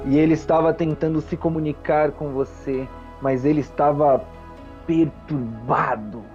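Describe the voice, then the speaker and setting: Mestre de RPG, homem de voz aveludada. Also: male voice, a little high, steady tone, a little mellow, lo-fi sound, faint music behind. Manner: theatrical, with deliberate pauses. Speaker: game master. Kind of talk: dramatic tabletop game narration.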